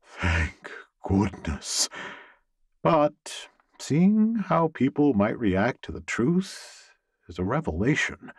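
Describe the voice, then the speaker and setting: A man narrating a first-person novel as an audiobook, expressive and wry. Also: on the high side, slow pace, dry recording, studio quality.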